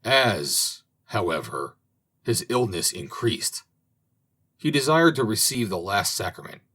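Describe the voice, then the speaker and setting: A man reading an old fairy tale aloud as an audiobook narrator, in a slow, expressive manner. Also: fairly low pitch, breathy edge. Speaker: audiobook reader. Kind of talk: storybook narration.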